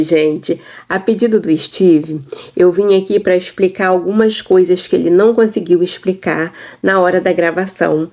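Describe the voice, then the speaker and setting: A woman's voice: fairly low pitch, dark tone. A woman from Brazil telling her story casually to camera, often laughing and explaining things for her husband. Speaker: vlogger.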